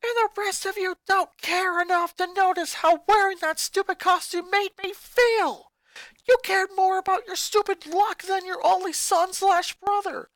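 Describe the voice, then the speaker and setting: A woman voicing an angry, resentful young boy who rants and shouts at his family. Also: extremely high pitch, steady tone, measured pace, dry recording, pitched above the performer's own voice, low-quality recording. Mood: furious. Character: furious boy, eleven-year-old, bitter and resentful.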